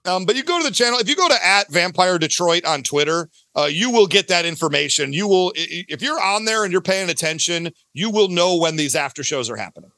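A man's voice: sits high, sing-song, fairly quick, hardly any pauses, sharp tone, no room sound. A man talking casually as an interview guest about his creative work, talkative and often correcting himself mid-thought. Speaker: guest, audio drama creator.

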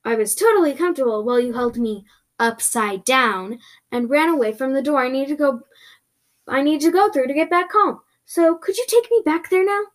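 A woman's voice: fairly high pitch, expressive, brisk, close to an everyday voice. A woman voicing a feisty, sarcastic, exclamatory girl lost in another world in a fantasy story. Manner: exclamatory, indignant, sassy.

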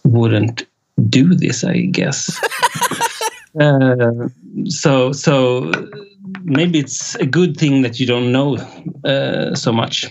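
Slow, gravelly Swedish-accented man. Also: mid-range voice, a little mellow. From Sweden. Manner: halting, many filler pauses, chuckles often. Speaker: independent musician guest. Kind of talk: casual interview chat. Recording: dull lo-fi sound.

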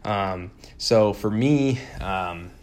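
A young man talking casually and haltingly on his solo podcast, using informal slang. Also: on the low side, darkish tone. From California, born in Puerto Rico. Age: young adult. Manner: informal, slangy, hesitant with frequent pauses. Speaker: podcast host.

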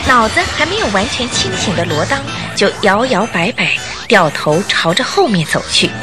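A woman narrating a Chinese radio drama, telling the story in a measured way with theatrical emphasis. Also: mid-range voice, big pitch swings. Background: music.

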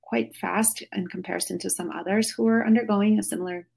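A woman's voice: medium pitch, steady tone, fairly quick, vocal fry, darkish tone, breathy edge. A middle-aged woman from Russia, a podcast guest, talking in a chatty, rambling way with many fillers and restarts over a call. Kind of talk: casual remote interview. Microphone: phone or video call.

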